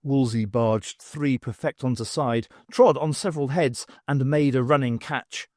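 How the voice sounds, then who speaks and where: A man's voice: mid-range voice, steady tone, unhurried, darkish tone, no echo, studio quality. A man reading scripted story narration as the narrator of an audiobook.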